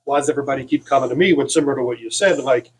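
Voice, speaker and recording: A man's voice: medium pitch, very fast, darkish tone. An American man co-hosting as a legislator, giving his opinions in casual chat. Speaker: state legislator and co-host. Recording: clear background noise.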